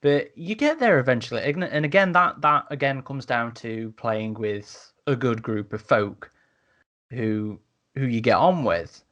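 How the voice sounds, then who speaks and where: A man's voice: medium pitch, lively intonation, measured pace, fairly bright tone. Man chatting as a podcast host. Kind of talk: casual podcast discussion.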